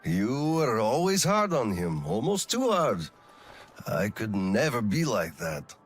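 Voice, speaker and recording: An actor playing a weary, conscientious middle-aged colonel in a game drama, speaking in a reflective, measured and slightly hesitant way. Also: male voice, fairly low pitch, huge pitch swings, slightly rough, faint music behind, heavily acted. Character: weary, conscientious male colonel and lone father. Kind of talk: dramatic video game cutscene acting.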